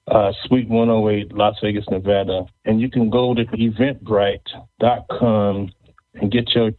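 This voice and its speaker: A man hosting a casual interview show, speaking haltingly and often repeating words. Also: fairly low pitch. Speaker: podcast host. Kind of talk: casual interview and event announcements. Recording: thin phone-like, band-limited audio.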